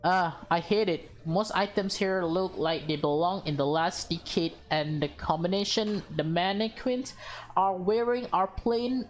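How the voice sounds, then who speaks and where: Woman plays visual novel fashionista girl: excitable, teasing, theatrical, unhurried delivery. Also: on the low side, lightly acted. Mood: picky. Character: excitable, bossy, playful fashion-loving girl.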